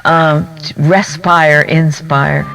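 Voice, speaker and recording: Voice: mid-range voice, flat delivery, very slow, vocal fry, over music. A poet speaking thoughtfully in an interview, explaining how writing and performance work. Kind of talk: interview talk on writing poetry.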